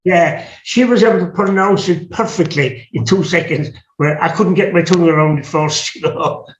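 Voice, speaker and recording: An Irish man hosts a relaxed chat in a high, lively voice, wandering through his thoughts and tripping over his own words as he goes. The sound is roomy and echoey, with a dull, muffled lo-fi tone. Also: moderate pace, slight background noise. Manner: rambling, repeats and restarts words, musing aloud. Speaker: host. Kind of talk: casual interview chat.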